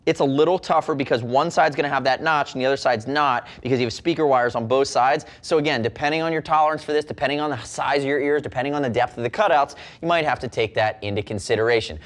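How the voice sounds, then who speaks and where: A man hosting a product review, talking in a fluent, casual, direct way with a salesman's touch. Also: medium pitch. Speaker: product reviewer, show host. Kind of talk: product review presentation to camera.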